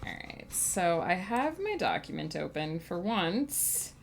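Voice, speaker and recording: Slow, low-voiced sniffly woman streamer. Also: very animated, vocal fry. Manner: rambling, thinking aloud with many fillers, chatting to viewers. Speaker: author streaming her writing process. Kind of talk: casual livestream chat.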